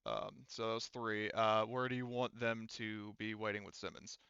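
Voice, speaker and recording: A man's voice: on the low side, level tone, moderate pace, creaky, bright, forceful tone, clear, resonant tone, no echo, dull audio. A man running a tabletop roleplaying game as game master, explaining casually in a rambling, self-correcting way.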